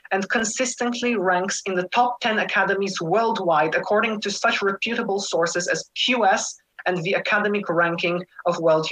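A man's voice: high voice, fairly bright tone, poor audio. Teenage boy reading a formal statement. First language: English. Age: teens. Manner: formal, elaborate wording. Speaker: scholarship candidate. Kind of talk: reading a prepared statement, then answering questions. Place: home room. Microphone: webcam on a video call.

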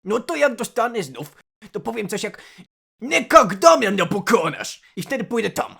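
A man playing a boastful, exasperated villain boss with a Russian accent and a gravelly voice in a comedic dub. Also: high-pitched, big pitch swings, moderate pace, sharp tone, exaggerated voice. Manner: theatrical, mixes Russian words into speech. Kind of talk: comedic dubbed dialogue.

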